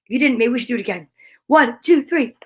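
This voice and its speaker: A woman's voice: on the high side, fast, husky. A woman hosting a live children's story time, reading aloud and chatting to viewers with playful, encouraging exclamations. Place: at home.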